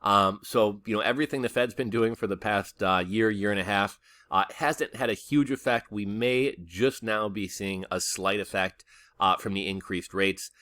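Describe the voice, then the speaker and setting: A man with a fairly low voice and a bright, forceful edge. He delivers casual news commentary as a host, conversational and opinionated with a skeptical bent. The sound is dry and close. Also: fairly even intonation, moderate pace, no echo.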